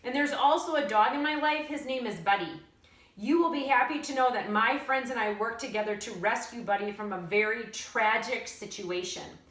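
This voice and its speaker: A woman's voice: a little high, moderate pace, a bit bright, close to an everyday voice. A woman voicing an earnest, stubborn young girl who speaks in formal, grown-up words for a storybook. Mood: earnest polite. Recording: roomy echo, distant mic.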